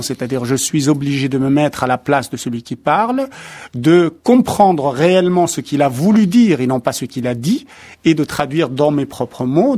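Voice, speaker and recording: A man in a studio interview, explaining carefully like an academic. He talks in a measured way, often hesitating and restarting a phrase before finding the right word. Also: mid-range voice, darkish tone. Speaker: expert guest on translation.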